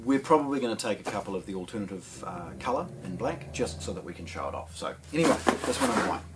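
Low-voiced New Zealand man, noisy recording. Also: big pitch swings, quick pace. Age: middle-aged. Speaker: product presenter at an audio shop. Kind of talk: casual unboxing presentation. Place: small indoor showroom with shelves and speakers. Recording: clear background noise.

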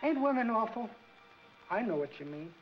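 A man's voice: sits high, sing-song, normal speed. A man playing an earnest, sympathetic young American soda jerk in a 1940s film comedy. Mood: commiserating. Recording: dull 1940s film soundtrack with music.

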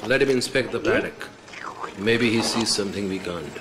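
An actor playing a genial, eager and wealthy park owner in an animated game cutscene. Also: male voice, fairly low pitch, moderate pace, heavy background noise, natural voice. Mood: concerned. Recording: loud music behind the voice.

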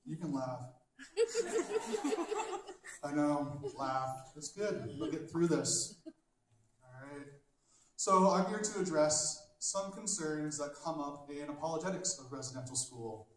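A young man doing a stand-up comedy set on a small stage with a handheld mic, telling dark jokes bluntly and chatting with the crowd between jokes. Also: on the high side, big pitch swings, slow, pauses often, a little hiss or hum. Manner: dark, blunt humor with conversational asides. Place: large wood-panelled hall with a small stage. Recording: very echoey hall from a distant camera.

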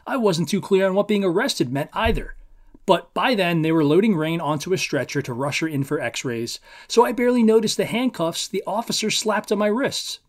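A man hosting a read-aloud show, narrating from a script to preview books. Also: high voice.

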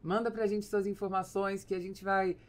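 A woman's voice: mid-range voice, level tone, normal speed. A woman with a Brazilian accent, hosting a podcast conversationally at a close studio microphone. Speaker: podcast host. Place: small foam-padded podcast studio. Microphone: studio microphone on boom arm, close.

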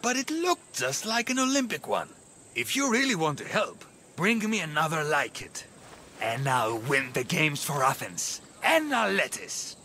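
An actor playing an anxious, superstitious young male athlete in a video game, pleading and excitable. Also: on the high side, measured pace, a little hiss or hum, heavily acted. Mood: pleading, downcast. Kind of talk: video game character acting.

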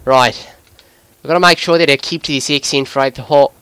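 A man putting on an Australian accent as an excitable, sweary bloke giving live video game commentary in a voice pushed higher than usual. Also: fast talker, clean tone, no echo, natural voice. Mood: focused, chatty. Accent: put-on Australian. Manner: excitable, sweary, breaking into giggles at himself. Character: excitable Australian bloke gamer, jokey larrikin.

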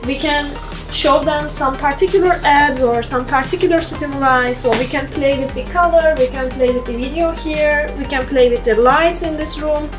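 High-voiced young woman. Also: moderate pace. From Turkey. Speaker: PhD student giving a lab tour. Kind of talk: guided tour talk. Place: indoor research lab rooms. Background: background music.

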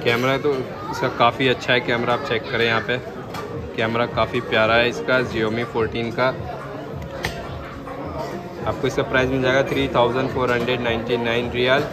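Man flatly reading out phone prices. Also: medium pitch, moderate pace, over a noisy crowd. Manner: flat, repetitive price listing. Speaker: vlogger showing phone prices. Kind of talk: casual walk-through shop tour. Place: large indoor shopping mall.

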